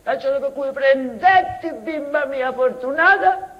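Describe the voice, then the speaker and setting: A woman's voice: sits high, slow pace, lo-fi sound. An actress playing a mad, childlike, tormented mother in a tragicomic stage monologue, speaking a broken invented dialect with obsessive repetitions and stammered restarts. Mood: mournful.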